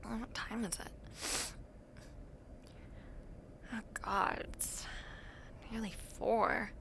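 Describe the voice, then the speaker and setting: A young woman acting an affectionate, teasing university student in an intimate roleplay, talking softly and playfully to the listener late at night. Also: on the high side, steady tone, drawn-out words, whispered, heavily acted. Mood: groggy. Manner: soft, playful, teasing, with breathy murmurs.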